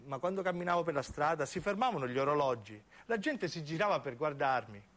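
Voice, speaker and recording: A man's voice: on the high side, lively intonation, brisk, a bit bright. Man playing a vain old southern Italian woman. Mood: proud, coquettish. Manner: boastful, folksy storytelling.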